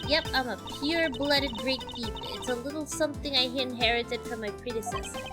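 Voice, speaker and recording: A woman's voice: high-pitched, fairly quick, over loud music, natural voice. A woman voicing a cheery, boastful young female great thief from a video game. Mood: boastful, indignant. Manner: boastful, bouncy.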